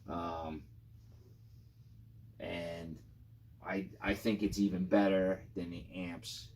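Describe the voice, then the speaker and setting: A man's voice: sits low, level tone, slow pace, darkish tone. A man reviewing guitar gear in a casual, informal and rambling product demo style with some enthusiasm.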